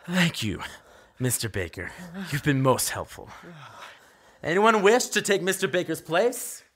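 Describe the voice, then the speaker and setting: A man playing a cruel, mock-polite gunman in an audio drama, speaking with theatrical, deliberate menace. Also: fairly high pitch, very animated, a bit bright, heavily acted. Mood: threatening, sarcastic. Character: cruel, taunting male gunman leading a hostage robbery.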